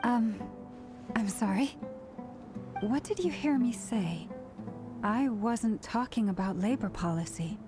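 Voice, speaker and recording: An actress playing a gentle, composed antlered woman in a video game, speaking politely and formally. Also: fairly high pitch, animated, takes it slowly, pauses often, music in the background. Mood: apologetic.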